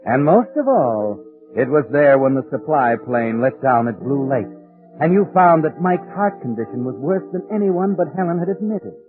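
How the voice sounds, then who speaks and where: An actor playing a sardonic, all-knowing mystery narrator who taunts a murderer in 1940s radio suspense style. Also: male voice, a little high, big pitch swings, moderate pace, narrow, tinny audio. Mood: taunting, ominous. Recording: vintage radio with prominent music behind. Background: dramatic music.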